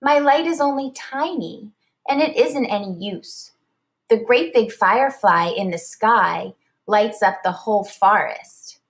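A woman's voice: mid-range voice, a little slow, close to an everyday voice. A woman voicing a small young girl firefly from a storybook, childlike and emphatic, eager but easily upset. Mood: upset, protesting.

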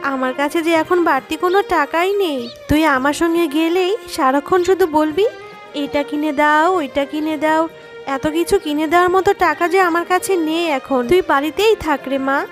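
Woman voicing a very high-pitched, gentle cartoon mother. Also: a little fast, faint music behind, natural voice. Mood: worried, gentle. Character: poor widowed fox mother, gentle but worried. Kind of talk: Bengali children's cartoon dubbing.

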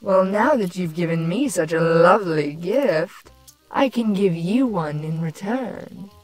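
A woman's voice: on the low side, unhurried, faint music behind, lightly acted. A woman voicing a doting, sultry female changeling queen who coos coyly at her beloved in a fan story reading. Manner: cooing, coy, affectionate. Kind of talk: dramatic fan fiction reading.

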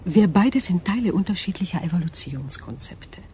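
This is a calm, ancient-minded alien of living crystal, acted by a woman in a radio play. The voice is low and mellow, with formal, deliberate phrasing. Some noise sits behind it. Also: natural voice. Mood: calm, detached. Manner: measured, formal, analytical. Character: crystalline alien coral being, female, calm, wise, wounded. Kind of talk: German radio play acting.

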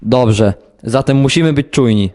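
A man's voice: medium pitch, a little slow, darkish tone, natural voice. A young man playing an alert army captain who gives terse orders in an amateur war audio drama. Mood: alert, official. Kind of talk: scripted war radio drama dialogue.

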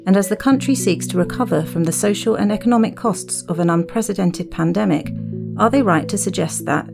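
A woman's voice: on the low side, creaky. A woman hosting a podcast, reading scripted narration. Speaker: podcast host.

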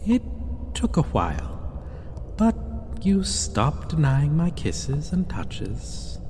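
A man playing a possessive, seductive vampire in an ASMR roleplay, sighing and speaking with condescending, mocking tenderness and quiet menace. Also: on the high side, huge pitch swings, full character voice. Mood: smug, affectionate. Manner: slow, sighing, purring endearments, mocking tenderness. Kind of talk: ASMR roleplay, theatrical intimate acting. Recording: long reverb, clear ambient background noise.

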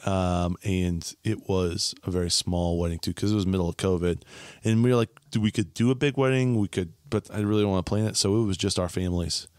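A chatty, upbeat man in his thirties hosts a relaxed podcast conversation, his voice sitting fairly low. He is close on a studio boom mic, and the sound is dry and tight, with little room behind it. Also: brisk, smooth, no echo. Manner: chatty, upbeat, frequent laughs and "bro". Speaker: podcast host. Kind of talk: casual conversational interview. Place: small home podcast studio. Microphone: studio mic on boom arm, headphones.

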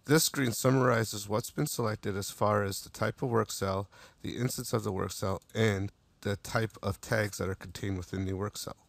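Male tutorial narrator, low and gravelly, plain step-by-step delivery, dry booth sound. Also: moderate pace, no room sound. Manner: steady step-by-step instruction with small restarts. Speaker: software demo narrator.

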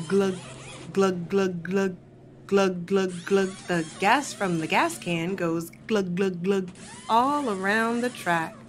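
Female picture-book narrator, unhurried and sing-song, mid-pitched, over car noise. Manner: rhythmic, expressive delivery with drawn-out sound words. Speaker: children's storybook reader.

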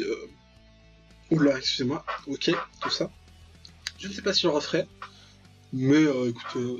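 A man's voice: fairly high pitch, lo-fi sound. A young man giving casual, slangy let's play commentary, with mock-dramatic, exclamatory reactions. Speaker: gaming video host.

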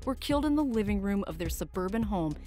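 An actress playing a local news reporter, reading a bulletin in a formal, factual manner. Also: female voice, mid-range voice, expressive, quick pace, mellow, soft background music, lightly acted. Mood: neutral, sober.

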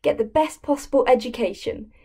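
A woman's voice: on the high side, a little fast, mellow, husky. A young woman delivering a dramatic reading of a speech, earnest and emphatic. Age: young adult. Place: plain indoor room.

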